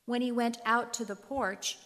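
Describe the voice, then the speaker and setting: A woman narrating scripture in a deliberate, clearly phrased way, in a reverberant hall. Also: a little high, steady tone, fairly bright tone. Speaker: narrator in a church readers' theater.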